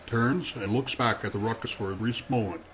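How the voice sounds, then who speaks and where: A man narrating a radio drama in a steady, measured tone. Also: mid-range voice, slight background noise. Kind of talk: scripted narration.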